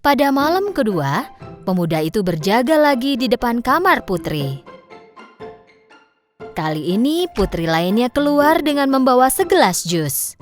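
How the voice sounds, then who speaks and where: A woman narrating a children's cartoon story in an expressive, lilting way. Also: high voice.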